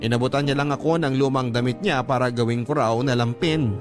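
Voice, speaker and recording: A man's voice: medium pitch, a little fast. A man with a Filipino accent reading a scripted first-person letter as a radio drama narrator. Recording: music under the narration.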